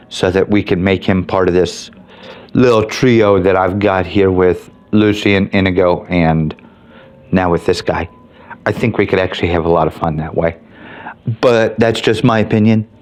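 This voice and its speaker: Casual, low-voiced man reviewing games. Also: a little mellow. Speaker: video host, game mod reviewer. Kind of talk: casual unscripted review commentary.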